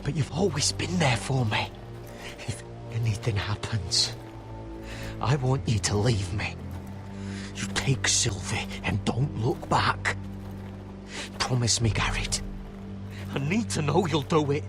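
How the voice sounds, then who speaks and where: A wounded, feverish young man who pleads weakly and earnestly with a loyal friend. His voice sits at a middling pitch, and a game score plays clearly under him. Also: animated, slow pace, long pauses, music in the background. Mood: pleading. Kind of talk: video game cutscene acting. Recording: game score playing behind.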